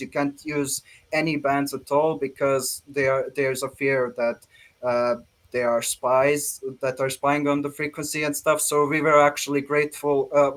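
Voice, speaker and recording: Higher-voiced Bosnian man, hesitant. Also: a bit bright. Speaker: guest speaker at an online ham radio expo. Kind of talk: informal question-and-answer after a presentation.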